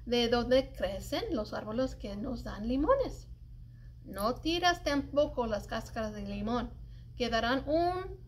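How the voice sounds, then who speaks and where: A middle-aged woman reading a children's poem aloud in an expressive, singsong, deliberate way, as a story-time librarian. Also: high-pitched, a little hiss or hum. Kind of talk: animated read-aloud of a children's cooking poem. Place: indoors, public library children's area.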